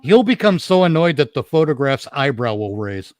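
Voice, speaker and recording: A man's voice: medium pitch, big pitch swings, moderate pace, music in the background. A man co-hosting in casual banter, joking in a wry, profane way. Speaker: co-host.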